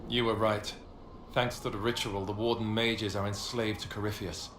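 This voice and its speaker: A serious warrior, played by a man, low-voiced and earnest in a fantasy game. His sober speech echoes over noisy ambience. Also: little pitch movement, normal speed, fairly bright tone, close to an everyday voice. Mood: grave. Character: grave, sober male warrior of a fantasy order. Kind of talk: dramatic fantasy game dialogue. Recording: echoey, with clear background noise and ambience.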